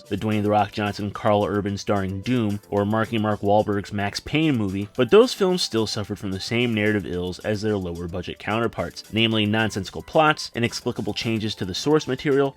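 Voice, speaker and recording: A man in his thirties delivering scripted video essay narration in a wry, sarcastic way. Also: fairly low pitch. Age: 30s. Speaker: film review channel host. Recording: music behind the voice. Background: background music.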